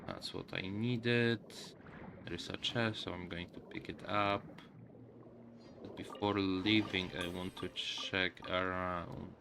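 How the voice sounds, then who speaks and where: A man doing casual live game commentary as a streamer, musing aloud to viewers in a halting way, with game music behind him. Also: deep voice, steady tone, vocal fry, background noise.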